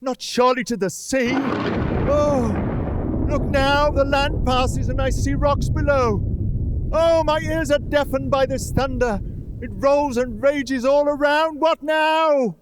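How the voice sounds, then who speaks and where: A man's voice: very high-pitched, steady tone, slow, background noise. A man playing a frightened, bewildered old miser in a radio play, exclaiming and questioning in agitation. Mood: alarmed. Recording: live church performance with loud music.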